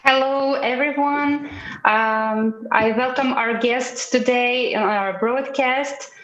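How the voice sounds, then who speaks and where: Female co-host, slightly high, hesitant friendly delivery, echoey room. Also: steady tone, takes it slowly, fairly bright tone, lo-fi sound. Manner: friendly, hesitant with many fillers. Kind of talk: live broadcast hosting.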